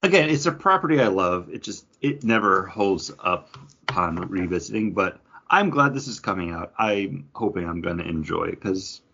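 A man's voice: low voice, expressive, moderate pace, creaky, few pauses, pushed and bright, husky, dull audio. A man co-hosting a podcast in casual, enthusiastic chat, using plenty of slang and swearing. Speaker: podcast co-host. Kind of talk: casual chat about comics and toys.

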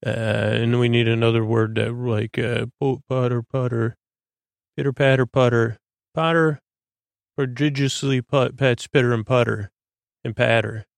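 A man hosting a bedtime podcast in a creaky, lulling voice, droning along in a halting, stammering, meandering monologue meant to help listeners sleep. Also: medium pitch, fairly even intonation, no echo. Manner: halting, stammering, repeats and plays with words. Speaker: podcast host.

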